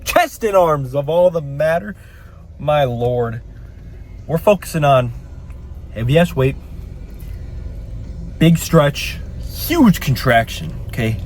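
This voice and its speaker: A man's voice: fairly high pitch, lively intonation, slow, pauses often, a little mellow, slight background noise. A young man with a congested voice, talking in a hyped, profane style as a fitness vlogger in a gym. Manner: hyped, profane bro talk, keeps adding "okay?". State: congested from a cold. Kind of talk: casual gym vlog talk.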